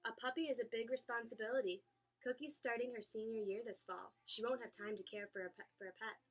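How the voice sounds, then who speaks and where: A woman's voice: a little high, fairly even intonation, a little fast, natural voice. A woman voicing a practical, caring mother in a children's storybook, firm but reassuring. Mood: stern. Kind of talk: children's storybook read-aloud.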